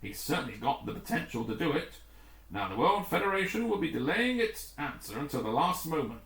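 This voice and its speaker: A man reading the part of a grim, authoritative rescue commander in a storybook, formal and deliberate. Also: a little high, very animated, fairly bright tone, distant mic. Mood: grave, resolute. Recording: roomy, echoing room.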